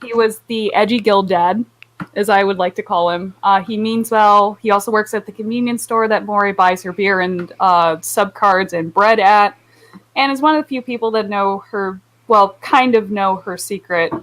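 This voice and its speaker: A woman shares opinions as a panelist in a casual group chat. Her voice sits at an average pitch, and her delivery is wry and a little hesitant. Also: normal speed, a bit bright, low-quality recording. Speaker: anime club podcast panelist.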